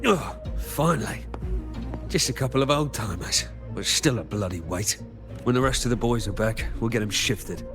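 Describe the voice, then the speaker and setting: A man with a British accent voicing a crude, cocky henchman in a video game, with gravelly, colloquial delivery. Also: medium pitch, lively intonation, moderate pace, over music, close to an everyday voice. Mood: grumbling. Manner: taunting, rough, colloquial. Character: sneering thuggish male henchman, cocky and crude.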